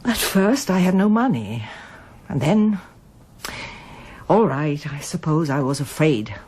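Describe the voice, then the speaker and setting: An older woman in a radio drama, haunted by a lost past. Her voice is husky and low, with expressive rises and falls, and she speaks reflectively and with hesitation. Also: unhurried, heavily acted. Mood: defensive, sad. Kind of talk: radio drama acting and first-person narration.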